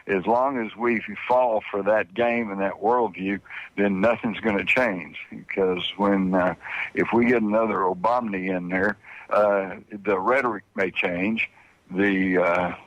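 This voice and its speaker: A man's voice: on the low side, fairly even intonation, takes it slowly, fairly bright tone, no echo, lo-fi sound. An older man speaking as a radio interview guest, opinionated and emphatic with a folksy way of talking. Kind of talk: opinionated political discussion.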